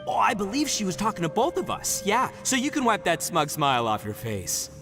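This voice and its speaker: A man's voice: sits high, huge pitch swings, brisk, music in the background, full character voice. A man playing a boisterous, boastful young oni gang boss in a video game, brash, theatrical and easily rattled. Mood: cocky.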